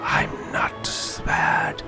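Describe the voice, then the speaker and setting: A performer of unclear sex voicing a friendly, sly little talking snake in a hushed whisper for a comic audio story. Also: very slow, faint music behind. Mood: sly, casual.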